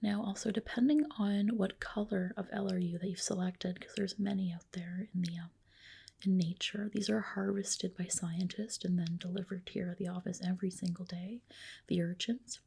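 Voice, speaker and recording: Female ASMR performer, breathy whisper with a mellow tone, reassuring clinic-roleplay delivery. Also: lowish voice, fairly even intonation, moderate pace. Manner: soothing, reassuring clinician addressing a patient.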